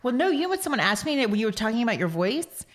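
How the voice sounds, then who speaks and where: A woman's voice: a little high, very animated, racing. Woman, podcast co-host, chatty, teasing, casual. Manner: teasing, chatty, laughs easily. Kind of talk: casual chat.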